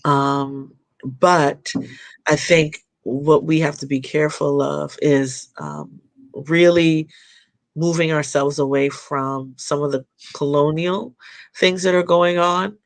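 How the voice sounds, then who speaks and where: Gravelly, thoughtful woman guest. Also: sits low, fairly even intonation, no echo. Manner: thoughtful, halting, many fillers and restarts. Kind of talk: casual podcast conversation.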